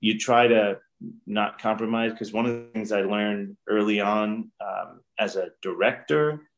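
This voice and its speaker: A middle-aged man speaks in a lowish, unhurried voice, reflecting earnestly and often restarting his sentences. He is talking from a room at home over a webcam call. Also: faint music behind, poor audio. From New York. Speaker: guest director. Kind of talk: casual interview. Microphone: video call webcam.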